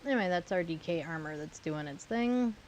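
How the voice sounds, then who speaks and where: A woman streaming a game, slightly hoarse, talking to herself with dry asides as she plays. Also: lowish voice, lively intonation, brisk, darkish tone, highs cut off, a little hiss or hum. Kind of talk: casual gameplay commentary.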